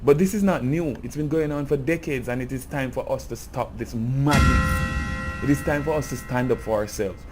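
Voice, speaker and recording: Jamaican man, earnest commentary, mid-pitched voice, soft music underneath. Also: normal speed. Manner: earnest, grows impassioned and emphatic. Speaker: cultural commentator. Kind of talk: video essay commentary.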